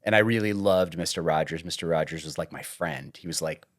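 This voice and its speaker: A low-pitched man from suburban New York recalls his past in a relaxed podcast chat. He tells stories with energy and slips in dry, teasing jokes along the way. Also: brisk. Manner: joking, deadpan teasing, lively storytelling. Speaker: podcast guest, TV writer. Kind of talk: casual interview chat.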